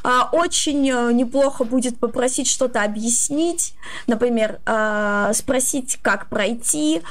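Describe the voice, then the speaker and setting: A woman in her twenties giving advice in casual, halting, explanatory talk. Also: on the high side. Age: 20s.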